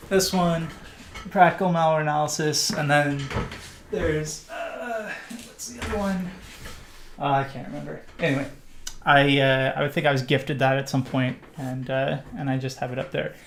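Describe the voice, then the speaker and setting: Middle-aged Canadian livestream host, gravelly voice, loose and rambling, lots of ums. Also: male voice, a little high, moderate pace, slight background noise. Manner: rambling with many fillers and restarts. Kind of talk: casual live Q&A chat.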